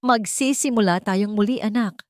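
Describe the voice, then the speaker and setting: Gentle Filipino grandmother voiced by a woman narrator. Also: mid-range voice, moderate pace, dry recording, clean studio sound, pitched above the performer's own voice, lightly acted. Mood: tender, resolute. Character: gentle, cheerful grandmother, a loving older mother. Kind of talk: storybook drama character voice.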